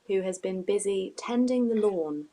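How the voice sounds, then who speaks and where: A woman's voice: medium pitch, animated, a little slow, warm tone. A woman, hosting an online arts interview over a video call. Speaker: host and interviewer.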